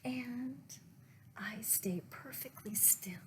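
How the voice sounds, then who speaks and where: Lady doing library storytime, reads really slow with pauses, bit of background noise. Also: on the high side. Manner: slow, deliberate phrasing with pauses. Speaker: children's librarian reading storybooks aloud. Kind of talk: read-aloud storytime with asides to young listeners.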